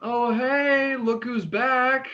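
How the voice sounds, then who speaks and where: An actor playing a tired, opinionated young man in an online play reading, talking in a halting, wry, naturalistic way. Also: very high voice, heavily acted. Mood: teasing, friendly. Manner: halting, animated, wry talk. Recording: dull, lo-fi online recording.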